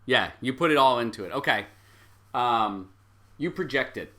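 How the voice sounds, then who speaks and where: A man acting as game master, improvising narration for a tabletop game in a deliberate, explanatory way with pauses. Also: mid-range voice, animated, pushed and bright.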